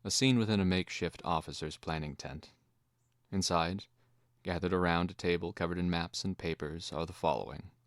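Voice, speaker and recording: A man narrating a screenplay as a scripted dramatic reading, in a measured way. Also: deep voice, dry recording, clean studio sound.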